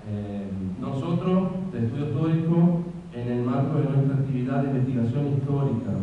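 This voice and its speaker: A man's voice: medium pitch, fairly even intonation, fast. An Italian guest speaker in a museum hall reads his lecture from a text, his words thick with fillers and restarts. The sound is distant and thin, like a phone line, and a long echo trails each phrase over a faint hiss. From Italy. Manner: hesitant, many fillers and restarts. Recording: echoey hall from far off, tinny and noisy.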